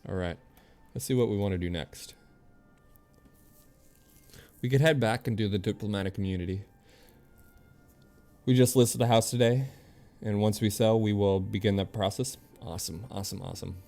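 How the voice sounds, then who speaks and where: A low-pitched man talks in an easygoing, chatty way as a game streamer. He speaks straight to his viewers between bits of casual commentary, with faint game music behind him. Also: moderate pace, vocal fry, long pauses, mellow. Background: video game music.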